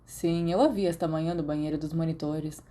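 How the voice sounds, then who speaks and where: A woman's voice: sits low, steady tone, brisk, dark tone, natural voice. A Brazilian woman voicing an easygoing, self-deprecating teenage schoolboy in an audiobook, dry and understated. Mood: reassuring.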